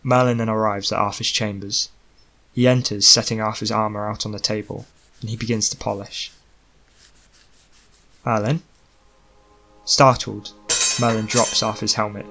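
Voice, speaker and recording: A man's voice: fairly low pitch, level tone, normal speed, bright, forceful tone, a little hiss or hum, poor audio. A man reading scripted fantasy fiction as an audiobook narrator.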